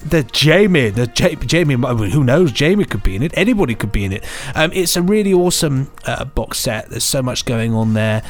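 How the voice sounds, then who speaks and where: An eager man co-hosts a podcast, talking up new releases in quick bursts. Soft music runs underneath his voice. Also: mid-range voice, big pitch swings. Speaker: podcast co-host and sound designer. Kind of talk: casual chat.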